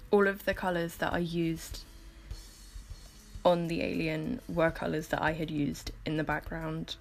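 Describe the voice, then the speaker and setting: A woman with a slightly low, gravelly voice, croaky as if she has just woken up. She narrates her art process casually and chattily, like an informal vlog voiceover, with music playing behind her. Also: little pitch movement, measured pace. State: croaky morning voice, just woke up. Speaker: artist making painting process videos.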